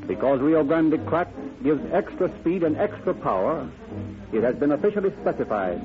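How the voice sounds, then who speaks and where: A man's voice: on the high side, expressive, normal speed. An American man as a 1930s radio announcer, reading advertising copy with emphatic, formal salesmanship. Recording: tinny 1930s radio, music behind.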